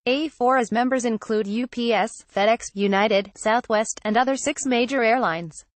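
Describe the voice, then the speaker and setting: A woman's voice: on the high side, moderate pace, no room sound. Dry-recorded woman reading news. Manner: reads formally, word for word. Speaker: news article narrator. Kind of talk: scripted news narration.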